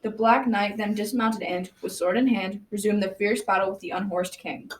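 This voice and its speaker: A woman's voice: mid-range voice, fairly even intonation, moderate pace, lightly acted. Teenage girl as folktale narrator, scripted storybook reading, school radio drama, echoey room, off-mic, slight background noise. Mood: telling. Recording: roomy, distant mic, faint noise.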